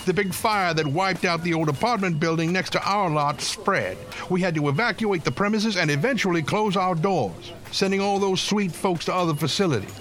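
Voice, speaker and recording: A man's voice: sits high, normal speed, few pauses, fairly bright tone, soft background music. An actor playing a proud, indignant homeless man in an audio drama, blunt and colloquial. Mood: bitter, impassioned. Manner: blunt, emphatic, colloquial, swearing. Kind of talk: full-cast audio drama acting.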